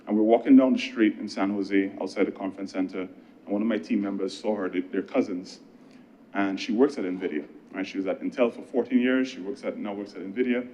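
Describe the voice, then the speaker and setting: A Barbadian man speaks as a government minister in a low, mellow voice. His speech is earnest and conversational, built on storytelling and emphatic pauses. The recording sounds slightly dull. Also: slight background noise. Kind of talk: political speech in parliament with anecdotes.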